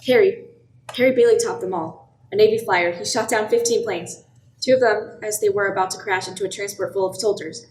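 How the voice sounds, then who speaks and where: A woman's voice: medium pitch, fairly even intonation, fairly quick, a little mellow, close to an everyday voice. A woman playing the storytelling narrator of an amateur radio play, reading scripted narration. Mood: narrating. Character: storybook narrator recounting a small town's wartime years. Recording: echoey room with background noise.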